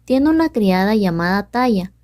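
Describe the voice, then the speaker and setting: A woman's voice: medium pitch, animated, unhurried, a little mellow, no room sound, clean studio sound. A young woman, a student presenter, reading a scripted story summary as narrator. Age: young adult.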